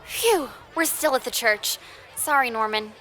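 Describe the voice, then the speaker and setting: A woman's voice: fairly high pitch, measured pace, fairly bright tone. A woman voicing a polite, cautious and curious kid in a children's puppet show. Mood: uneasy.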